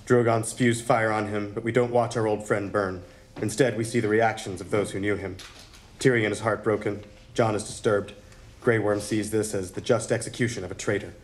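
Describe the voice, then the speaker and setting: A man's voice: on the low side, flat delivery, moderate pace. A man narrating script directions in a dramatic reading at a table read. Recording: room with faint noise and crowd.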